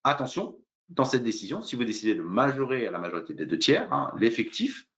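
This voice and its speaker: Un homme qui fait une présentation juridique explicative, semi-improvisée, de façon didactique, pas à pas, avec beaucoup d'hésitations. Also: male voice, mid-range voice, very animated, a little fast, a bit bright, lo-fi sound. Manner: hesitant, didactic, step-by-step with frequent fillers. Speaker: lawyer presenting a legal webinar. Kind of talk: explanatory legal presentation, semi-improvised.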